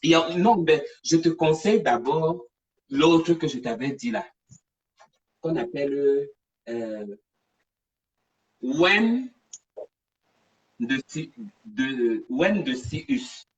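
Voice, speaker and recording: A woman hosting a casual live stream, talking in an animated, digressive, chatty way. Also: sits low, slow, pauses often, highs cut off. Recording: distant from the mic.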